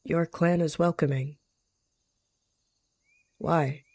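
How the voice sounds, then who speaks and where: A woman's voice: low voice, steady tone, drawn-out words, darkish tone, no room sound, studio quality, heavily acted. A woman voicing a scheming, aloof rogue cat in a roleplay, guarded and curt. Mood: guarded, calculating.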